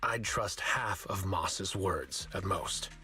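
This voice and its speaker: A man playing a brash, proud young swordsman in a video game, gravelly and cocky, with an intense, taunting delivery. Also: low-pitched, takes it slowly, pushed and bright, heavily acted. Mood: wary. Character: brash, proud young male swordsman rival, stubborn and aggressive.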